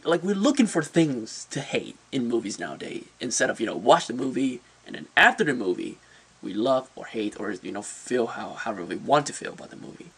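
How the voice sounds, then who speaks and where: Guy doing a casual movie review, medium pitch, informal and opinionated, kinda stumbles over his phrasing. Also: big pitch swings, a little fast, husky, poor audio. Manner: informal and opinionated, hesitant phrasing with repeated words. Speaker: movie reviewer, channel host.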